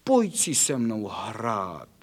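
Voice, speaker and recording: A man voicing a poor, sweet nightshirt with a soft, plaintive way of talking. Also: on the low side, wildly swooping pitch, voice pushed down. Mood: longing.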